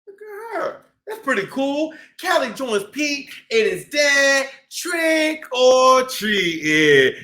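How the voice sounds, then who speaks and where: A man hosting a children's storytime, reading a picture book aloud in an excitable, exclamatory way with drawn-out dramatic phrasing. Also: very high-pitched. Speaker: children's storytime host and reader. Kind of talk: animated picture-book read-aloud for kids.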